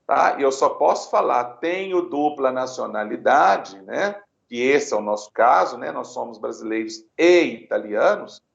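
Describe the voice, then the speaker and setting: A Brazilian man with a Brazilian Portuguese accent, explaining citizenship law deliberately, checking in with "né?" and "tá?". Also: medium pitch, creaky, roomy sound, dull audio. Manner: deliberate, tag questions like "né?" and "tá?". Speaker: lawyer interviewed on Italian citizenship law. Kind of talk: explanatory legal talk.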